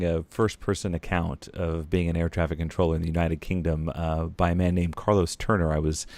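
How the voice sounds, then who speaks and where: A man hosting a podcast interview, putting questions in a conversational, articulate and measured way. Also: low voice, darkish tone, no room sound.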